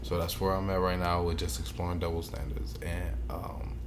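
A man's voice: low voice, completely flat delivery, normal speed. A man co-hosting a podcast in casual chat, speaking in an informal, slangy, opinionated way. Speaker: podcast co-host. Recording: noticeable background noise.

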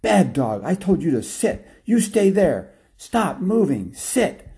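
Here is an excitable lady dog owner in a spoken story, acted out by a man. The voice is pushed well up high and turns shrill, with a flustered, overwrought manner. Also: normal speed, close to an everyday voice. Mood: scolding. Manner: shrill, stammering when flustered. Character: mean, panicky, apologetic lady dog owner.

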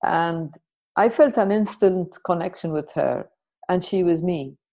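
A woman hosting a podcast, introducing and questioning in a conversational, reflective way with deliberate pauses. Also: on the low side, mellow. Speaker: podcast host.

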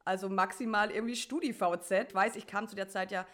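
A woman's voice: medium pitch, steady tone. A woman in her thirties from Germany, co-hosting a podcast, chatty and asking her friend questions. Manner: chatty, prompting questions, quick to laugh. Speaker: podcast co-host. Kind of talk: casual chat.

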